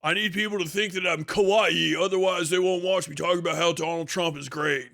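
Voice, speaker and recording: A man acts out an indignant online streamer desperate to seem cute. The voice is high, loud and whiny, pleading with melodramatic urgency in a livestream parody. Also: fairly even intonation, a little fast, vocal fry, exaggerated voice.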